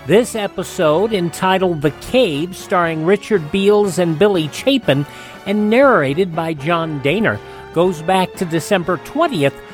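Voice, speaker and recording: A man's voice: sits high, expressive, a little slow, soft background music. A man hosting a radio program, reading a scripted introduction. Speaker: radio show host.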